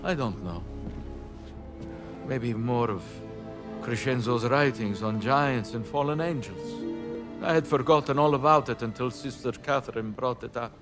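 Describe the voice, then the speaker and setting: An actor playing a gentle, secretive, learned priest in a video game. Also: male voice, medium pitch, measured pace, music in the background, close to an everyday voice. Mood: guarded, kindly. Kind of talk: cinematic game dialogue.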